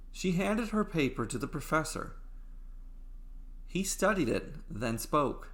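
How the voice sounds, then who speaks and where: A man narrating a scripted story in a dramatic, deliberate way, with pauses between phrases. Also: medium pitch, fairly bright tone.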